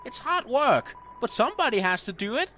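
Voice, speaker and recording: A woman's voice: a little high, big pitch swings, fairly quick, over a noisy crowd. A woman voicing a small, fussy, earnest, hard-working cleaning monster in a video game. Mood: dutiful, earnest. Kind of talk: game character voice-over.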